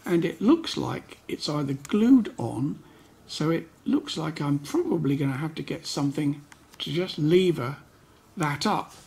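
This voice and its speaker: A man giving an unscripted hands-on demonstration, talking in an unhurried way and pausing mid-sentence as he works. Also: fairly high pitch, animated, gravelly, a little mellow, slightly rough. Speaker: host of a hi-fi repair channel.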